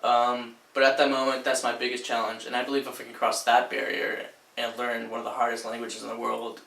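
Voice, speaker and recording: Young man from Sweden, student intro, casual and monotone, bright tone, miked from afar. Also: mid-range voice, brisk, gravelly. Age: young adult. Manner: casual, relaxed, with "like" and "um" fillers. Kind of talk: personal introduction monologue. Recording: echoey room, distant mic.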